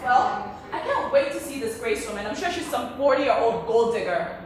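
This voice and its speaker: A woman's voice: a little high, animated, normal speed, natural voice. A woman acting an indignant, sarcastic adult daughter in a stage play. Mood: scornful. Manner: sarcastic, exasperated, emphatic. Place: indoor theatre stage, recorded from far off. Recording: echoey theatre, distant mic, faint noise.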